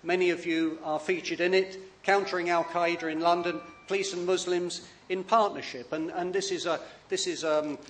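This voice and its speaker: A man giving a public talk as an author, hesitant, with many fillers and restarts, often speaking quietly. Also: high voice, fairly even intonation, normal speed, hardly any pauses, a little hiss or hum.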